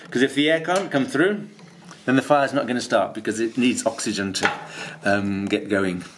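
A man's voice: mid-range voice, a little hiss or hum. Patient man explaining step by step. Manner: patient, step-by-step, frequent ums. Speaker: holiday rental host. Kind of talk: instructional tutorial. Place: stone-walled home kitchen, indoors.

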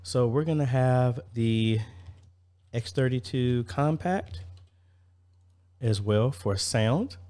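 A man's voice: mid-range voice, slow pace, pauses often, warm tone. A man in his thirties talking informally and practically, thinking aloud as he explains an installation in a casual vlog style. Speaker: church audio-video installer and vlogger.

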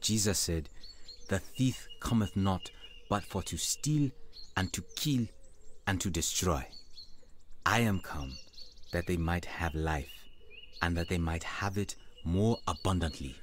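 An actor playing an earnest middle-aged evangelist and devoted son in a radio drama, with measured delivery. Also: male voice, sits low, a little hiss or hum. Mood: solemn. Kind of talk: radio drama narration and dialogue.

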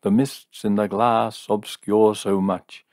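Man reading as a slow, portentous fortune-telling seer. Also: on the low side, little pitch movement, a little mellow, no room sound, studio quality, voice pushed up. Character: solemn, dogged, mystical fortune-telling seer, male. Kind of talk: dramatised storybook reading.

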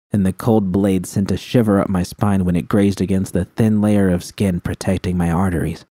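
Somber man reading low and dark. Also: no room sound. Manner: quiet, somber, measured reading. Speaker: audiobook reader. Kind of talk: reading first-person fan fiction aloud.

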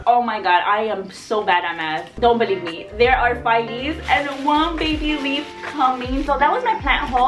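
Girl in her 20s vlogging at home, highish voice, super informal and slangy, music playing behind her. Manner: informal, slangy, self-deprecating. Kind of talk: casual chatty vlog narration. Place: small apartment bedroom, indoors. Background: background music.

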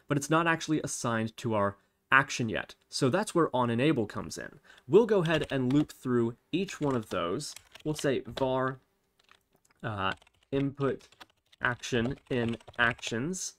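A man with a mid-pitched voice narrates a step-by-step coding tutorial, thinking aloud as he works. He explains each move in an instructional way. The recording has noticeable background noise, with typing and clicks behind him. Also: animated, measured pace. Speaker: tutorial host. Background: computer keyboard typing and mouse clicks.

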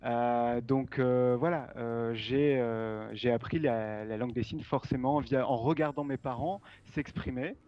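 A man in his forties answering questions over a video call, speaking haltingly with frequent fillers. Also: medium pitch, muffled recording. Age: 40s. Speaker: guest sign language trainer. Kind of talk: casual interview answers.

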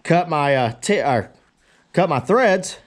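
Folksy guy doing a casual shop tutorial, voice a bit on the high side, noisy tools clattering around him. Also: expressive, slow pace. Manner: folksy, plain-spoken, addressing viewers as guys. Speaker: blacksmith giving a how-to demonstration. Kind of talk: casual unscripted workshop tutorial talk. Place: cluttered forge workshop.

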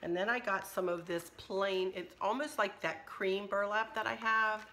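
A middle-aged woman, chatting casually through a craft shopping haul at home, her voice a little hoarse. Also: medium pitch, steady tone, normal speed, bright, forceful tone, a little hiss or hum. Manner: chatty, friendly, rambling. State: slightly hoarse, losing her voice. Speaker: craft vlogger. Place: kitchen at home, indoors.

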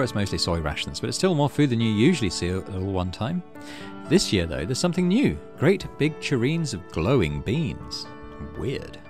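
A man hosts a game playthrough with a very lively, swooping intonation. He moves between casual commentary and expressive read-aloud narration, over game music. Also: medium pitch. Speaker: gaming video host.

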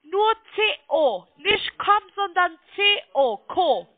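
A woman's voice: extremely high pitch, very animated, slow. A woman with a foreign accent, a non-native speaker working as a call centre agent, giving instructions over a narrow phone line. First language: not German.

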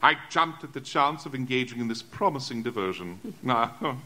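An actor playing a flamboyant English gentleman vampire hunter in an audio drama, pompous, theatrical and condescending. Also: mid-range voice, animated, moderate pace. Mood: pompous, jovial. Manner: pompous, theatrical, hesitating politely.

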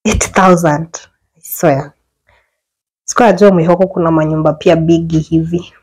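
A young woman with a Kenyan accent talks her viewers through a small apartment, filming on her phone as she walks. Her delivery is chatty and full of quick exclamations, with the sound of an ordinary indoor room around her. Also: deep voice, slightly rough. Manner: chatty, mixes English and Swahili slang, lively exclamations. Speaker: vlogger giving a house tour. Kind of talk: casual house tour chat. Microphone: phone camera held while walking.

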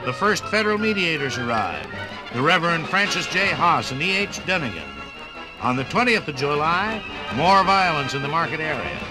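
A man's voice: on the high side, big pitch swings, moderate pace, low-quality recording. A man narrating a 1950s radio documentary in a formal, dramatic announcer delivery. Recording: 1950s radio record with music behind.

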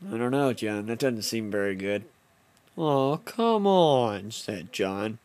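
A man's voice: lowish voice, animated, slow pace, darkish tone, dry recording. A young man narrating a home-made comedy film in the first person, with playful, mock-dramatic storybook delivery.

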